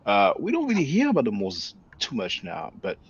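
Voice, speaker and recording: A man in a casual talk, explaining slowly and measuredly, often trailing off softly. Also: mid-range voice, huge pitch swings, breathy edge. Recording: dry, muffled lo-fi audio.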